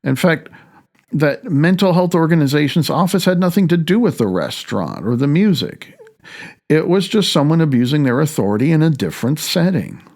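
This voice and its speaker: A man narrating his own audiobook in a candid, wry way, loosely phrased with asides, halting and then rushing ahead. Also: fairly high pitch, big pitch swings, a little mellow. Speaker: author reading own audiobook.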